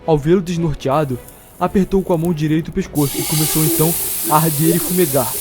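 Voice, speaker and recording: Higher-voiced Brazilian man narrating fantasy. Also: fast talker, dark tone. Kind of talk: dramatic scripted fantasy storytelling. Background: music.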